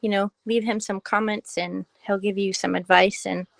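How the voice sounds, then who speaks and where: A woman's voice: medium pitch, flat delivery, moderate pace, vocal fry, dry recording. Giggly young woman on a phone. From Massachusetts. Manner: unsure, giggly, rambling. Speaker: host's daughter, co-host. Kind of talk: casual live chat.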